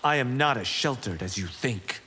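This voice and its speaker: An actor playing a brash, eager young warrior monk in a fighting game, boastful and boyish. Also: male voice, mid-range voice, animated, normal speed, in a large hall, heavy background noise, lightly acted. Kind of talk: video game pre-fight banter.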